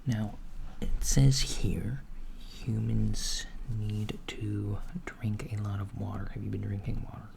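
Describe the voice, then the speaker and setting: Slow, soft-spoken man playing an alien doctor. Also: lowish voice, flat delivery, full character voice. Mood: calm, clinical. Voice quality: soft, breathy, near whisper. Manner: unhurried, hesitant, gently clinical. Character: calm alien doctor disguised as human, patiently questioning. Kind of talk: soft-spoken ASMR roleplay. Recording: lo-fi with clear background noise.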